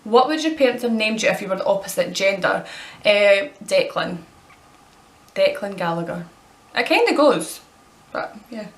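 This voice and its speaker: Chatty young Scottish woman, roomy sound. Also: mid-range voice. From Scotland. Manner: chatty, rambling, self-correcting. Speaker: vlogger. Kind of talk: casual chat answering tag questions.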